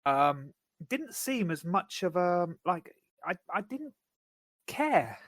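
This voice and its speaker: A man co-hosting a podcast, talking through his views on a film in a measured, thoughtful way. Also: high voice, big pitch swings, fairly bright tone, dry recording, clean studio sound. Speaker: podcast co-host. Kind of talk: casual film discussion.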